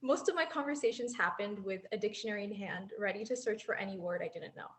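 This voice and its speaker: A woman's voice: mid-range voice, quick pace. A woman in her twenties giving an earnest, reflective prepared speech as a former exchange student, laughing lightly now and then. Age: 20s. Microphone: handheld mic.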